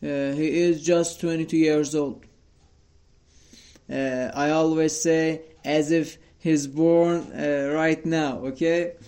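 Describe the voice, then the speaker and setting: Slow-talking young man musing on a quote. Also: on the high side, steady tone, darkish tone. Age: 20s. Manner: slow and halting, many uh fillers and restarts. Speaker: host of a quote podcast. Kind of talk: casual solo talk. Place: sitting at home in front of a mirror.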